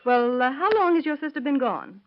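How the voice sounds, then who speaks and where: A woman's voice: high voice, very animated, a little fast, slight background noise. An actress playing a polite, matter-of-fact woman clerk at a police bureau in 1930s radio drama style. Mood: polite, businesslike. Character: polite, businesslike female missing-persons bureau clerk. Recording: dull vintage radio drama recording.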